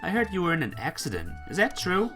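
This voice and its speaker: A woman's voice: lowish voice, huge pitch swings, fast, a bit bright, soft background music, pitched above the performer's own voice. A woman voicing a blunt, exasperated teenage schoolboy from a visual novel game with brusque, challenging delivery.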